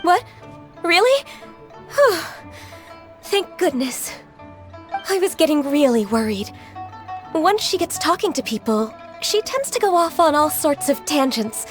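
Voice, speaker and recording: Sweet-natured, hesitant young woman for a game cutscene: high voice, slow delivery, earnest. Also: big pitch swings, soft background music. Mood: nervous, relieved. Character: gentle, anxious, polite young woman. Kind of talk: video game cutscene acting.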